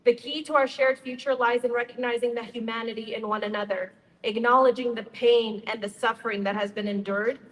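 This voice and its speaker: A woman's voice: a little high, steady tone, brisk, fairly bright tone. A young woman from Utah, a nonprofit co-founder, giving a friendly, upbeat prepared speech to a community gathering. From Utah, United States. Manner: upbeat, friendly, addresses the crowd directly. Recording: reverberant hall, faint ambient noise.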